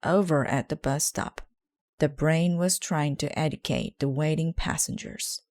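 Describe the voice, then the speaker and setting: A woman narrating a children's book aloud in a measured, clearly phrased way. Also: deep voice, darkish tone. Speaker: storybook reader.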